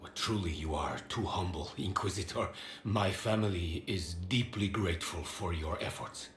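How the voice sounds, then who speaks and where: Very low male voice, courtly game noble, formal, pious, deferential, roomy echo. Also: normal speed, a bit bright. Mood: solemn, grateful. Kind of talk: video game dialogue. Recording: roomy echo, faint background noise.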